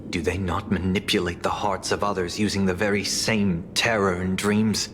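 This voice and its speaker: An actor voicing an earnest young male investigator in a video game, speaking in a measured, formal way. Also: deep voice, level tone, background noise, natural voice. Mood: resolute. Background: game music.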